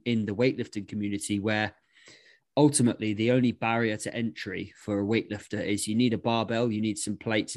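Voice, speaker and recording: A man's voice: on the low side, darkish tone, dry recording, clean studio sound. A man talking casually as a podcast guest, thoughtful and rambling, with frequent restarts. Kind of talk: casual discussion.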